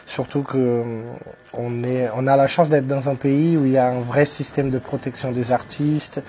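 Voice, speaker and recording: A man's voice: medium pitch, level tone, moderate pace, warm tone, a little hiss or hum. Hesitant man speaking French. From Brazil. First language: Portuguese. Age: 30s. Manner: hesitant, many fillers and restarts. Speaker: guest, entrepreneur. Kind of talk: radio interview, telling his life story.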